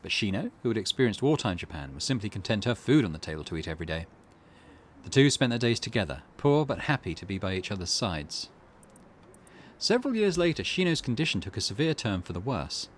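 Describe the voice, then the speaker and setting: A man's voice: lowish voice, very animated, fast, a little hiss or hum. A man reading visual novel narration aloud as a gaming host.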